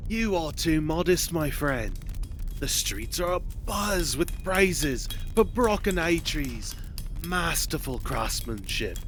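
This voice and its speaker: A man voicing a scheming Norse trickster god in an audio drama, persuasive, theatrical and flattering. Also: fairly high pitch, takes it slowly, faint music behind, voice pushed up, exaggerated voice.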